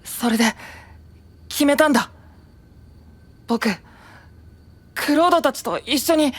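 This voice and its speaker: An actor playing a gentle, caring young male knight, soft and earnest, in a fantasy voice drama. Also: extremely high pitch, slow pace, full character voice. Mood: earnest, pleading.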